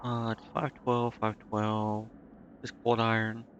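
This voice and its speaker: A man's voice: lowish voice, completely flat delivery, slow pace, a bit bright, muffled recording, a little hiss or hum. A man streaming a video game, thinking aloud in a casual, hesitant way as he mutters to himself.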